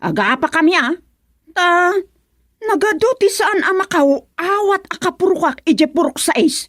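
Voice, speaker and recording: An actress playing an excitable, gossipy village woman in an Ilocano radio comedy, whining and exclaiming as she complains. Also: very high-pitched, a little slow, no echo, clean studio sound, exaggerated voice. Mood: indignant. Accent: Filipino, Ilocano.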